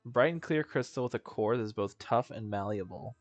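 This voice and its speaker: A man's voice: medium pitch, brisk, dull audio. A man streaming a video game, chatting casually and reading dialogue aloud with mumbly asides and stumbles. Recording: background game music.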